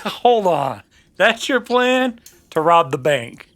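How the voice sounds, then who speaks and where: A man playing a wry, steady Old West bounty hunter in a radio western, drawling and sardonic. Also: high-pitched, slow, gravelly, voice pushed up, exaggerated voice. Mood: incredulous. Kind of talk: old-time western radio drama acting.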